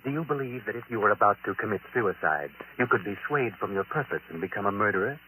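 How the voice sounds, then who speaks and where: A man's voice: on the low side, lively intonation, bright, forceful tone, a little hiss or hum, low-quality recording. A man playing a sinister, knowing narrator of a 1940s radio mystery, dramatic and ominous, on a vintage recording. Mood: intrigued. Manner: dramatic, ominous, deliberate phrasing. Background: music.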